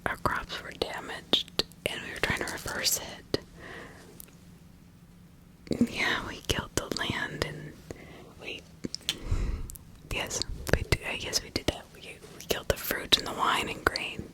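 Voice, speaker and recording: A woman's voice: measured pace, sharp tone, poor audio. Woman acting a whispering, scared accused farm woman. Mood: scared, pleading. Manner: hesitant, stammering, evasive, pleading. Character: scared, flustered poor farmer woman accused of witchcraft. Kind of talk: whispered ASMR roleplay.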